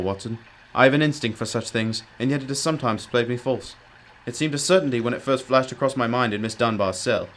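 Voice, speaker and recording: A man's voice: mid-range voice, natural voice. Guy playing brilliant bossy detective in a radio play, emphatic and courteous, faint noise behind. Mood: focused. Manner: emphatic, deliberate explaining, old-fashioned courteous address. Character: brilliant, commanding, self-critical male detective. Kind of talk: radio drama acting.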